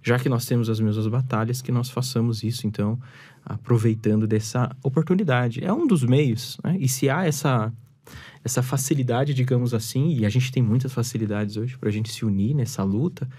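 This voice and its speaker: A man's voice: mid-range voice, moderate pace, warm tone, slightly rough. A Brazilian man hosting a church podcast, formally thanking his guests as the show closes. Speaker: podcast host.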